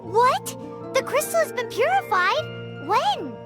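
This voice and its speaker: A woman voicing a tiny, blunt, excitable childlike fairy girl in an anime game dub, squeaky and exclamatory. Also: takes it slowly, over loud music, exaggerated voice. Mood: indignant, surprised. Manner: squeaky, exclamatory, refers to self in third person.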